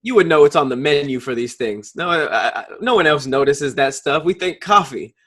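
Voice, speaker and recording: A man co-hosting a podcast, with a high-ish voice, reacting wryly and incredulously. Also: lively intonation, moderate pace, a bit bright. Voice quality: says he has a high voice. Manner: wry, incredulous reactions with frequent laughing. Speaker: podcast co-host. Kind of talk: casual comedic chat.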